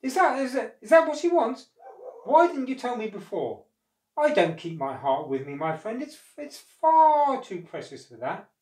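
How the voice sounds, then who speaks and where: A storyteller voicing a friendly, quick-witted male monkey in an excitable manner, for a folk tale. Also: medium pitch. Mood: quick-thinking. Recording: roomy echo, distant microphone.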